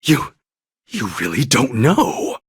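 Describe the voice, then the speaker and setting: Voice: mid-range voice, wildly swooping pitch, takes it slowly, breathy edge, no room sound, studio quality, exaggerated voice. An actor voicing a sly, courteous interrogator with a theatrical, probing manner in a video game cutscene. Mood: pressing, incredulous. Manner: mock-polite, probing, theatrical.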